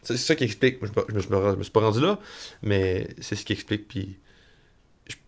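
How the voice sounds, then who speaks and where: Low-voiced Canadian French podcast host. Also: male voice, lively intonation, creaky. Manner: easygoing and agreeable, quick to affirm. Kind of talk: casual conversational chat.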